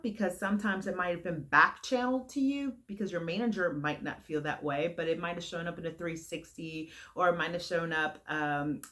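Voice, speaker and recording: Woman with a heavy, carrying voice. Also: low-pitched. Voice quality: loud, heavy voice that carries. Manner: frank and earnest, with fillers and restarts. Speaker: vlogger giving career advice. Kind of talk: casual advice to camera.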